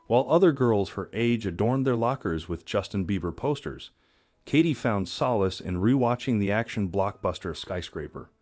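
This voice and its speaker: A man's voice: fairly low pitch, darkish tone, no echo. A man reading scripted story narration in a dramatic storyteller manner.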